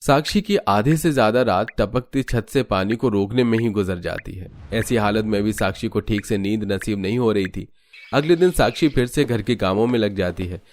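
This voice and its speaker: A man's voice: lowish voice, normal speed, slight background noise. A man narrating a scripted cartoon story as a storyteller.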